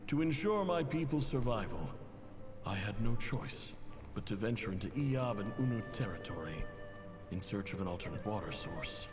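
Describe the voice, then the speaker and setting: Man acting a proud desert chief, echoing, expressive. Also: lowish voice, lightly acted. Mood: grave, pleading. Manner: formal, measured, courteous. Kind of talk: dramatic video game letter narration. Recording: long reverb, music behind.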